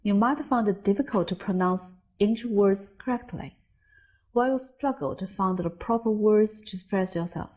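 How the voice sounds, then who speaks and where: A woman's voice: mid-range voice, moderate pace, lo-fi sound. A Chinese woman with a Chinese accent, an English teacher, narrating a scripted lesson and carefully stressing example words. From China.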